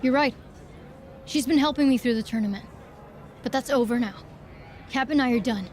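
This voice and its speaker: High-voiced, proud woman playing a sarcastic wrestler. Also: normal speed, darkish tone, soft background music, a faint crowd, close to an everyday voice. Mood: determined. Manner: sarcastic, blunt, firm. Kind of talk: video game cutscene acting.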